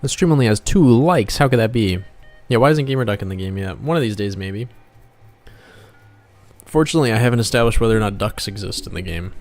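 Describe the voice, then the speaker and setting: A man streaming as a game developer and artist, chatting casually and muttering in a low-key way as he works. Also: expressive, brisk, a little mellow, faint music behind. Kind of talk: casual livestream chat while drawing.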